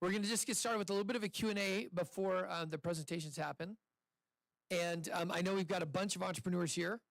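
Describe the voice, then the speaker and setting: A man hosting a live pitch event, speaking casually into a handheld microphone to an audience in an indoor room, chatty and upbeat with loose restarts. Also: fairly even intonation, fast talker, creaky, a bit bright, dry recording, low-quality recording. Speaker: event host and investor.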